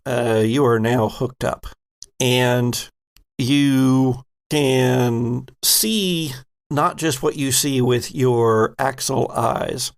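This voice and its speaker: Unhurried male game master with a gravelly voice; describes scenes at length. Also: medium pitch. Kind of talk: narrating a tabletop game.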